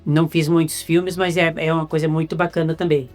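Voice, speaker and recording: A woman's voice: low-pitched, level tone, brisk, a little mellow, soft background music. A woman with a Brazilian accent doing a casual, chatty interview, often repeating words as she searches for the phrase.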